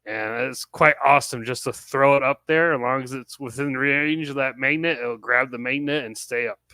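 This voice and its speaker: A man's voice: medium pitch, fairly even intonation, normal speed, sharp tone, smooth, no room sound. A man talking casually as a podcast panelist, describing gear on his truck.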